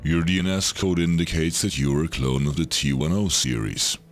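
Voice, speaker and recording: A man voicing a solemn, fatherly old Jedi Master as a hologram in an audio drama, speaking in a measured way. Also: a bass voice, voice pushed down. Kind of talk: audio drama character acting. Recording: music behind the voice.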